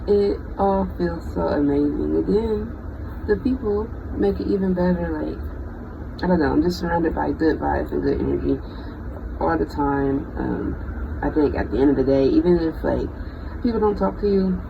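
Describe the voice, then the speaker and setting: A thirty-year-old vlogger talking casually and off the cuff, in a reflective, halting, freestyled way. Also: medium pitch, creaky. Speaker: bioengineering student. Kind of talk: casual unscripted personal vlog. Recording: dull lo-fi audio with vehicle-like noise.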